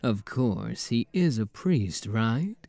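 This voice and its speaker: A man's voice: mid-range voice, expressive, slow pace, creaky, mellow, no echo. A man acting a suave, teasing demon prince in a romantic roleplay audio drama, with a courtly, flirtatious drawl and playful mock menace. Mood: coaxing, suave.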